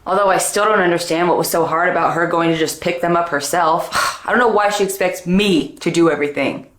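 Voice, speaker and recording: A woman's voice: fairly low pitch, a little fast, a bit bright, close to an everyday voice. Woman playing a whiny, selfish husband in echoey room. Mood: self-pitying. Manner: petulant, indignant. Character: whiny, selfish, sulky husband in his thirties. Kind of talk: POV comedy skit. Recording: roomy echo, background noise.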